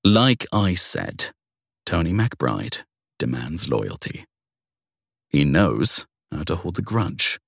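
A man reading a scripted first-person crime story in a measured storytelling manner, with dramatic pauses. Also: very deep voice, very animated, vocal fry, fairly bright tone, slightly rough, no echo, clean studio sound. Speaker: narrator of a short crime story.

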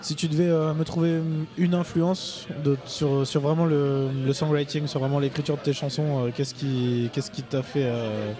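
A man's voice: on the high side, fairly even intonation, normal speed, hardly any pauses, warm tone. A man hosting a podcast, interviewing musicians in casual chat and laughing easily, at a folk club bar. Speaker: podcast host. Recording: live bar recording with crowd chatter. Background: crowd chatter.

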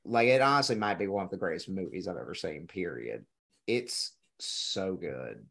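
A man's voice: deep voice, lively intonation, moderate pace, vocal fry, a bit bright. A man on a casual film podcast who gives enthusiastic, emphatic opinions. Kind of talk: casual film review chat.

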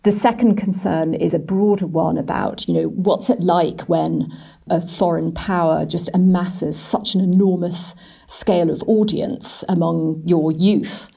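A woman's voice: lowish voice, fairly even intonation, unhurried, gravelly, warm tone, slightly rough. A woman working as a journalist and editor, giving explanatory answers in an interview. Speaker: business and technology editor.

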